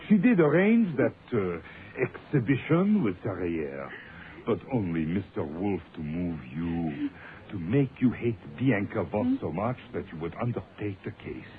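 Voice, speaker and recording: A man's voice: low voice, lively intonation, measured pace. An actor playing a proud, defiant foreign man in a radio mystery, speaking in formal, emphatic, stilted phrases. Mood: pleading. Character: proud, defiant, intense foreign man protecting his sister. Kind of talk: radio mystery drama acting. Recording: narrow, tinny, noisy old-time radio recording.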